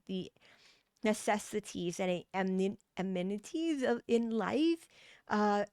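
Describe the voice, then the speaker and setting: A woman's voice: mid-range voice, clear, resonant tone, no room sound, clean studio sound. A woman with a Thai accent, a tarot reader giving a meandering spiritual reading with many restarts and fillers. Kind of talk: pick-a-card tarot reading.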